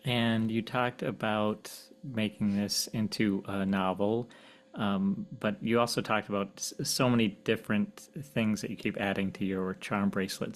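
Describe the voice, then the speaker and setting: A man with a fairly low voice hosts a podcast interview. He puts his questions conversationally and a little tentatively, sometimes restarting them. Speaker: podcast host.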